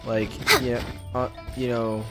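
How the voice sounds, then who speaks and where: A man's voice: mid-range voice, little pitch movement, takes it slowly. A man giving casual, rambling reaction commentary as he streams a game, often repeating himself. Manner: repetitive, rambling. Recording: loud game music behind stream voice.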